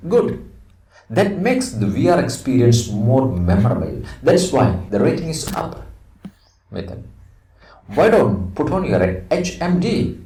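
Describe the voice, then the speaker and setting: A middle-aged Indian-accented man, an English teacher reading a play aloud and explaining it to students in a deliberate manner. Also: mid-range voice, warm tone. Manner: deliberate, announces each speaker before reading lines. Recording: roomy echo, distant microphone.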